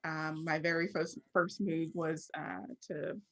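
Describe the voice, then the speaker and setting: A woman's voice: sits low, steady tone, slow pace. A woman from Texas with an American accent, talking candidly and informally as an interview guest. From Fort Worth, Texas, United States. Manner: candid, informal, frequently laughing. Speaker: interview guest, creative professional. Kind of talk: casual interview conversation.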